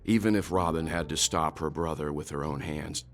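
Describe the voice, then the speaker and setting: An actor playing a composed, thoughtful mature gentleman in a video game, speaking in a measured, formal, articulate way. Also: extremely low pitch, faint music behind. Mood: grave, reflective. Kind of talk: game voice acting, formal dialogue.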